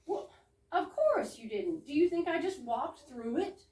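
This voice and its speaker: High, swooping, exasperated older sister, acted by a woman. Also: sing-song, unhurried, darkish tone, full character voice. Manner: indignant, huffy. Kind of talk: amateur stage readers theater, 1920s comedy. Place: live reading in a roomy indoor space. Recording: echoey room, distant mic.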